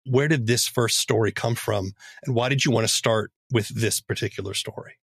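A man's voice: medium pitch, moderate pace, fairly bright tone, breathy edge, no room sound. A man speaking as a podcast host, explaining a story in a conversational way. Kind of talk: podcast commentary summarizing a story.

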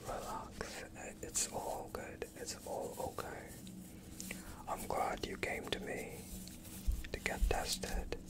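A gentle vampire doctor, played by a young man, whispers slowly to his patient. His delivery is soothing and attentive, breathed close to the microphone in an ASMR roleplay style. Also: close to an everyday voice. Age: young adult. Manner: slow, soothing, reassuring. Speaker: ASMR performer.

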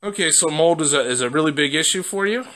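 A man's voice: a little high, animated, quick pace, sharp tone. A man working as a company representative, conducting a promotional testimonial interview from off camera in a basement.

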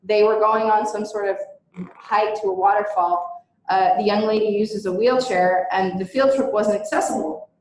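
A woman's voice: mid-range voice, steady tone, moderate pace, darkish tone. A woman giving a recorded presentation as an educator and advocate, speaking in an earnest, emphatic, conversational way. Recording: very echoey room.

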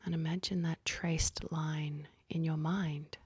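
A woman leading a guided meditation in a soft, husky, breathy voice, speaking calmly and gently with unhurried pauses. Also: sits low, dry recording. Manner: calm, gentle, unhurried instructing with long pauses.

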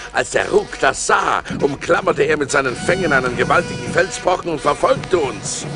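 An actor playing a seafarer who recounts his perilous adventures in a theatrical radio play style, moving between hushed and urgent. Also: fairly high pitch, creaky. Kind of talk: dramatic radio play narration. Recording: vinyl record transfer with music behind.

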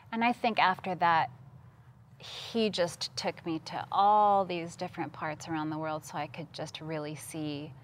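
A woman's voice: low voice, slight background noise. A woman in her thirties from Costa Rica, talking conversationally and earnestly as a studio interview guest. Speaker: interview guest, missions worker. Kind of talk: conversational testimony. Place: TV studio, seated at a table.

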